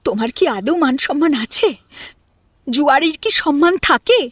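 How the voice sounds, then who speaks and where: Woman acting a reproachful, high-voiced wife. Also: expressive, normal speed, no room sound, clean studio sound. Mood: bitter, reproachful. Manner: reproachful, cutting. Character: hard-done-by wife, bitterly reproachful and honest. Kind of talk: Bengali audio drama acting.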